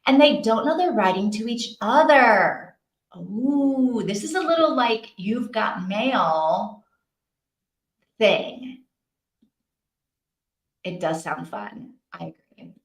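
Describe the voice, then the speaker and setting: A woman's voice: a little high, animated, takes it slowly, pauses often. A woman chatting casually and expressively to her livestream viewers as host, thinking aloud as she goes. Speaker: host of a live reading-sprint stream. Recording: roomy, echoey sound.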